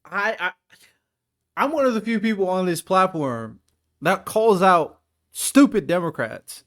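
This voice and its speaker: A man's voice: sits high, lively intonation, measured pace, fairly bright tone. Blunt, dismissive man ranting. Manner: blunt, dismissive, swears, says "bro" a lot. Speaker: reaction video host. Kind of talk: casual ranting commentary.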